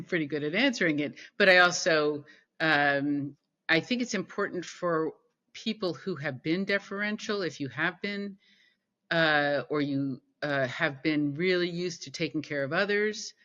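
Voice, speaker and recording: A woman being interviewed, speaking in a bright, forceful voice. She talks thoughtfully, pausing and restarting often. The audio is muffled and lo-fi. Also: low-pitched. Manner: thoughtful, halting with fillers and restarts. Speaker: podcast guest. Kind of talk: conversational interview.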